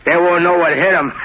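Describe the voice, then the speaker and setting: A man's voice: a little high, slightly rough. An American man playing a simple, obedient, shell-shocked war veteran with a childlike manner, in 1930s radio drama style. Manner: childlike, dull-witted, docile. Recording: muffled, hissy 1930s radio recording.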